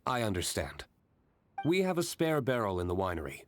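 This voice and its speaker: A reserved young master of a winery in a video game. His voice sits low but moves expressively. He speaks politely and with measured understatement. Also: very animated, slight background noise. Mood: calm, helpful. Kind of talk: video game character voice acting.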